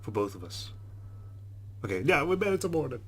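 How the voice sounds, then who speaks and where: A man's voice: mid-range voice, big pitch swings, brisk. A man hosting a let's play, reading visual novel narration aloud expressively and dropping into casual asides.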